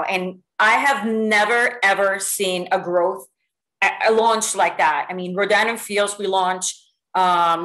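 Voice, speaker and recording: A middle-aged woman from Puerto Rico giving a lively, motivational talk to her sales team over a video call, full of stories and fillers. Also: mid-range voice. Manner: lively, animated storytelling with many fillers. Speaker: sales executive speaking to a team. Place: home room with bookshelves. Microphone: video call webcam.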